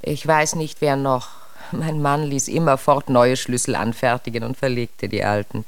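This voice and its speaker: An anxious, genteel widow, low-voiced. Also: female voice, steady tone, moderate pace, smooth, no room sound. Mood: polite, explaining. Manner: polite, subdued, hesitant. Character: anxious, genteel widowed English shop owner. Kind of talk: German radio crime drama acting.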